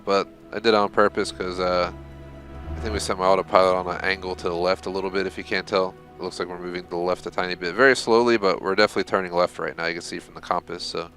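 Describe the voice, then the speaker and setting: A man's voice: low-pitched, flat delivery, fast talker, fairly bright tone, soft background music. A young man giving casual, rambling live commentary while playing a game, swearing when frustrated. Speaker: game streamer.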